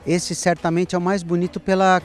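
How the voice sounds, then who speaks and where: Man, Brazilian Portuguese accent, travel show host, outdoors, chatty, fairly high voice, faint music. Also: little pitch movement, a little fast. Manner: chatty, easygoing, asks leading questions. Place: outdoors at an ancient temple.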